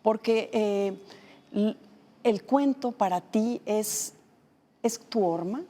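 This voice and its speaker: A woman's voice: mid-range voice, slow pace, big-room sound. A woman hosting a TV interview who asks questions with frequent restarts and fillers. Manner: warm prompting, hesitant restarts. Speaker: TV host. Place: TV studio.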